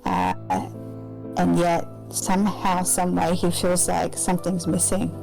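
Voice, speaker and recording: A woman with a fairly low voice describes her character in a tabletop game. She speaks hesitantly, restarting and searching for words as she goes. Faint guitar music plays in the background. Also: flat delivery, a little slow, gravelly, poor audio. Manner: hesitant, with many fillers and restarts. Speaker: player.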